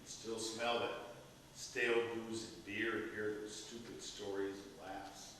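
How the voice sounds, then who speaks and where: A man's voice: fairly low pitch, fairly even intonation, measured pace, bright, forceful tone. A man acting on stage as an exasperated, resentful but reluctantly loving grown son, speaking with sardonic reproach. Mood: bitter reproach. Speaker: stage actor. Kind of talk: stage play dialogue. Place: theatre stage, recorded from the audience area. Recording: echoey theatre hall, distant mic, faint noise.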